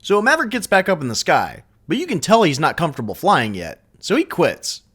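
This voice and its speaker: A man's voice: a little high, huge pitch swings, fairly quick, fairly bright tone, a little hiss or hum. A man narrating a comedic film recap with wry, mocking asides.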